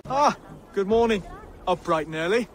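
A mild, earnest young Saxon lord with an English accent in a game cutscene. His voice sits a little high and speaks with courteous, measured care, over some background noise. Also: animated. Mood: mild, composed. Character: gentle, earnest young Saxon thane, would-be king. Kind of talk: video game cutscene acting.

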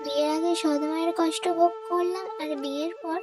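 A woman voicing a gentle, wronged young stepdaughter in a cartoon moral story, earnest and pleading. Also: very high-pitched, steady tone, a little fast. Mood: reproachful.

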